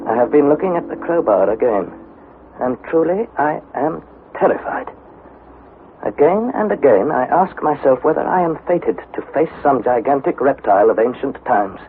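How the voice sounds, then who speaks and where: A man's voice: medium pitch, very animated, normal speed. An actor playing a fearful young male explorer, narrating his expedition journal dramatically in a 1960s radio drama. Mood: dread. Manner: dramatic, suspenseful, articulate delivery. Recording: tinny, hissy vintage 1960s radio recording.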